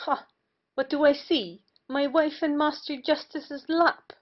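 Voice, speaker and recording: A woman's voice: high-pitched, steady tone, measured pace. A woman playing a humiliated, bitter parson and wronged husband in an old stage comedy, theatrical in delivery. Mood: indignant. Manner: theatrical lamenting. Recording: muffled lo-fi audio.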